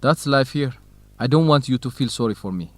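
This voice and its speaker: A man's voice: mid-range voice, animated, fairly quick, no room sound, lightly acted. An actor playing a dutiful, determined young man from Ethiopia in a radio drama for English learners. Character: young Ethiopian farm son, dutiful, determined, quietly lovestruck.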